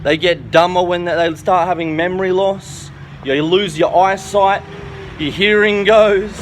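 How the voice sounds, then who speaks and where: A man's voice: sits high, fairly even intonation, unhurried, a little hiss or hum. A man around thirty giving an informal opinion monologue, emphatic and self-assured, repeating his points and often checking for agreement. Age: 29, turning thirty. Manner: emphatic, self-assured, repetitive, seeking agreement with "okay" and "right". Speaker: self-styled health and philosophy educator.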